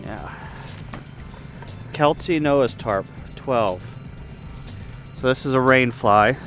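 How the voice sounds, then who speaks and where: A man with a mid-pitched voice talks through his outdoor setup in a casual, unscripted way. He explains as he goes, thinking aloud, picked up on a handheld camera mic with music behind him. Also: extremely slow, fairly bright tone. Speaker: ham radio hobbyist giving a video tour. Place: outdoor campsite.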